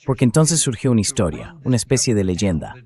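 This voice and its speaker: A man's synthetic voice-over reading translated political commentary in a measured, explanatory way, as an interview guest. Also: fairly low pitch, very animated, warm tone.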